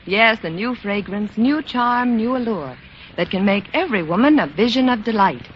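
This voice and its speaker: A woman's voice: a little high, expressive, moderate pace. Lilting American woman, vintage radio commercial. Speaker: commercial spokeswoman. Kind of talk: 1940s-1950s radio commercial reading. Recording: muffled old radio broadcast, faint noise.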